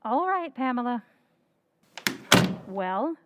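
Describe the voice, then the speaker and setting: A woman's voice: fairly high pitch, big pitch swings, slow pace, phone-quality sound, very noisy. An actress playing a wary newlywed woman in an old-time radio drama, clipped and guarded in speech. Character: wary newlywed woman, cool then warm. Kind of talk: 1940s radio acting.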